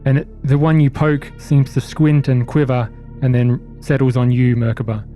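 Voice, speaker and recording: A man with a dark, relaxed voice and medium pitch narrates a tabletop horror game. He is the game master, phrasing things deliberately for suspense, with soft music underneath. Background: faint music.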